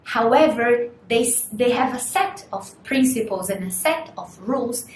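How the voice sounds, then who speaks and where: A young woman whose first language is Portuguese gives a recorded university lecture, explaining slowly and putting rhetorical questions to students. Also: lively intonation. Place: small studio room in front of a screen. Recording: echoey room, faint rumble.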